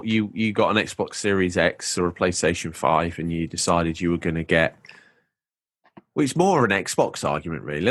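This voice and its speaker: Deep voiced guy on a podcast, dry close sound, asks a lot of what-ifs. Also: a bit bright, dry recording. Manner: wry, asks lots of rhetorical questions. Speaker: podcast co-host. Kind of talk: casual chat.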